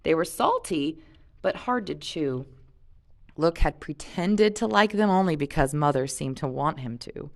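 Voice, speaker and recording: A woman reading a story aloud in an expressive audiobook style, with casual, conversational commentary as she leads the discussion. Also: low voice, unhurried, creaky. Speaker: reader leading a read-aloud and discussion.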